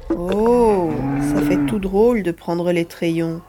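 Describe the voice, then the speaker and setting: A woman's voice: fairly low pitch, slow, heavy background noise. A woman playing a curious, earnest little farm boy, the grandson, in a French audio drama. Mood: eager, unsure. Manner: inquisitive, earnest.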